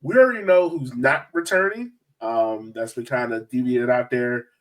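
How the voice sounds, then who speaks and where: A man's voice: mid-range voice, moderate pace, fairly bright tone. A man hosting a sports podcast in a casual, chatty style. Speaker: podcast host.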